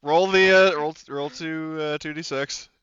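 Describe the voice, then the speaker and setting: A man's voice: on the high side, fairly even intonation, unhurried, fairly bright tone, clean tone, dry recording, dull audio. A man acting as game master, chatting casually as he runs a tabletop roleplaying game.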